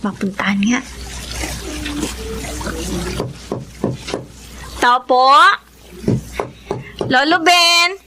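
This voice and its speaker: A woman's voice: high voice, animated, slow pace, noisy background. A woman playing a caring, respectful young granddaughter in a Filipino radio drama, polite and earnest. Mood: searching.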